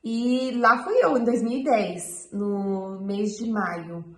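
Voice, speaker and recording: A woman's voice: medium pitch, slow. Brazilian woman telling a story in an echoey room. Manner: chatty storytelling with frequent "é" fillers. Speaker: missionary. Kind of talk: casual personal testimony. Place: home in Guatemala.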